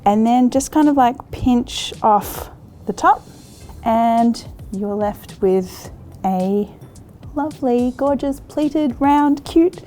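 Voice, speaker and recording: A woman's voice: on the high side, takes it slowly, dark tone, slight background noise. An Australian woman in her thirties hosting a cooking show, chatting through a recipe step by step in an encouraging, easygoing way and laughing easily. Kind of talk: cooking demonstration.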